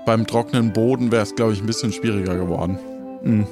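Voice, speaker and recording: A man's voice: fairly low pitch, fairly even intonation, brisk. A man hosting an improvised audio adventure podcast as game master, talking casually to players and listeners. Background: light music with guitar.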